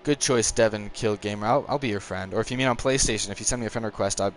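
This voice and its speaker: A nineteen-year-old man casually chatting on a live stream while playing a racing game, rambling and reacting to the race. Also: on the low side. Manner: chatty, rambling, quick to frustration, chuckles. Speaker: gaming streamer.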